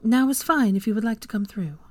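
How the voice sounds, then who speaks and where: A woman voicing a kind, reasonable young wife who gently chides, in an audiobook story. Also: fairly high pitch, a little fast, dry recording, voice pushed up, natural voice. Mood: polite.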